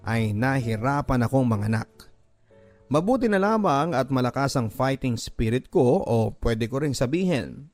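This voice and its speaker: Filipino man narrating sentimental drama over music. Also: medium pitch. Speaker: radio drama narrator reading a listener's letter. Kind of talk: dramatic scripted first-person storytelling. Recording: music bed under the voice. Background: background music.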